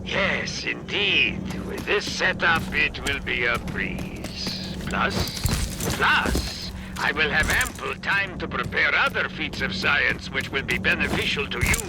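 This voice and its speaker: A man voicing an eccentric, self-assured scientist in a video game, with a formal, theatrical, halting delivery. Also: on the high side, lively intonation, loud music behind the voice. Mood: admiring, scheming. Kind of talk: video game character acting.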